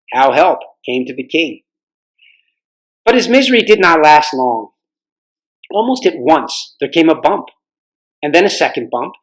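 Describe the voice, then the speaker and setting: A man reading aloud as a volunteer storyteller, with a measured storybook narration style for families. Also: a little high, rough recording.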